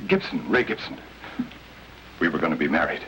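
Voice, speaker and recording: An actor playing an anxious, earnest bridegroom who defends himself in an old-time radio drama. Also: male voice, a bass voice, huge pitch swings, fairly quick, sharp tone, exaggerated voice, poor audio. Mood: earnest, defensive. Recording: hissy old radio recording.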